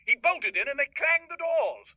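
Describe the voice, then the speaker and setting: An actor playing a flustered, reluctant English army major in a radio drama, upper-class and stammering, heard through a telephone filter. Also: male voice, very high voice, sing-song, normal speed, pushed and bright, no echo, exaggerated voice. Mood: agitated. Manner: flustered, hesitant, stammering repeats. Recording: tinny, narrow telephone line.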